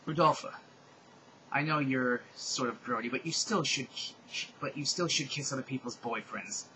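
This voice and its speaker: A man's voice: mid-range voice, normal speed, pushed and bright, slight background noise, lightly acted, low-quality recording. A man voicing an earnest, lovelorn teenage girl heroine in an overwrought storybook manner. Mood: betrayed.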